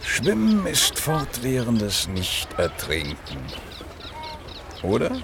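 A man's voice: mid-range voice, huge pitch swings, takes it slowly, vocal fry, full character voice. An actor playing a flirtatious, wry disc jockey in his thirties in a radio play, bantering as he goes. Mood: flirtatious, teasing. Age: 30s. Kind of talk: German radio play acting. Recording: noisy outdoor sound scene.

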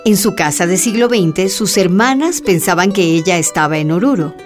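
A woman's voice: fairly low pitch, expressive, moderate pace, soft background music, natural voice. A woman acting as the narrator of a radio drama, reading scripted dramatic narration. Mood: narrating.

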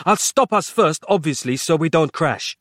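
A man's voice: on the high side, lively intonation, moderate pace, fairly bright tone, no echo, clean studio sound, pitched above the performer's own voice. A man voicing a hot-tempered, impatient kidnapper with a gun in a thriller audiobook, barking and snapping his words. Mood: barking, threatening.

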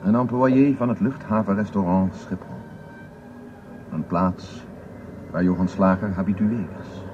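An actor in a radio drama playing a grave male presenter reading a measured, scripted eulogy. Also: fairly low pitch, background noise, close to an everyday voice. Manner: solemn, measured. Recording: dull radio broadcast sound over music.

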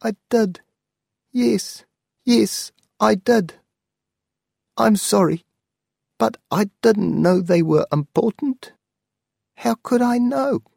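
A man's voice: sits high, animated, slow pace, gravelly, long pauses, darkish tone, no room sound, studio quality, pitched above the performer's own voice, exaggerated voice. A man voicing a very old woman, an anxious, pleading aunt in a storybook reading. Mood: apologetic.

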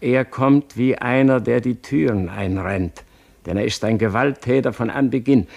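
A man's voice: on the low side, vocal fry, darkish tone, slightly rough, heavily acted. An actor playing a tormented, fearful, imperious king in a German radio play, declaiming with anguish. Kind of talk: German radio play, theatrical dramatic acting.

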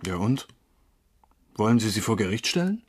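An actor playing an earnest, knowing lover in a German radio crime drama, with a husky voice and an intent, confiding manner. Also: male voice, lowish voice, big pitch swings, moderate pace, over music. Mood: troubled, defensive. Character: tall dark-haired male lover, earnest, knowing, quietly flirtatious.

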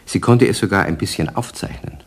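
A man's voice: deep voice, brisk, husky. A man narrating a children's radio play as a storyteller, reading scripted prose.

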